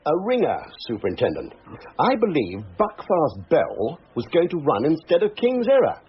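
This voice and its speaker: An actor playing a precise, knowing racing brigadier in a crime drama, measured and formal as he explains. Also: on the high side, wildly swooping pitch, slightly rough, heavily acted. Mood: knowing, explanatory. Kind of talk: British police drama acting. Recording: dull lo-fi audio with background hiss.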